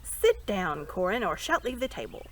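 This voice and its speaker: A woman's voice: mid-range voice, sing-song. A woman voicing a dignified, hearty king in a storybook, speaking in a formal, courteous and firm way. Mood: stern. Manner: stately, formal, measured proclamation.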